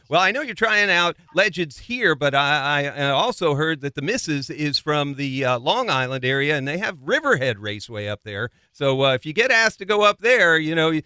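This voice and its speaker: A man's voice: medium pitch, sharp tone, clear, resonant tone, no echo, studio quality. A man hosting a motorsport radio show, interviewing and reading sponsor plugs in a folksy, playful, wordy way.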